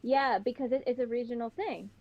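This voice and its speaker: A young woman with a Pittsburgh accent, a podcast guest chatting and telling stories in a lively way. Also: a little high, brisk. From Pittsburgh, Western Pennsylvania. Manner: chatty, animated storytelling. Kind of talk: casual chat. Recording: slightly dull, lo-fi sound.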